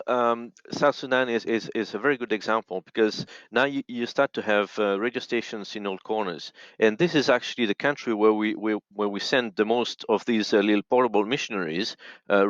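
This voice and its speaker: A man explaining conversationally as a guest, repeating phrases haltingly, on dull lo-fi audio. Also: on the low side, dry recording. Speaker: podcast guest.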